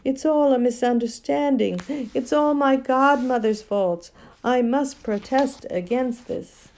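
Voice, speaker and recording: A woman voicing a frightened, pompous old gentleman magician in an audiobook, trembling, wailing and full of self-pity. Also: high voice, a little slow, pitched above the performer's own voice. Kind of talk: audiobook character acting.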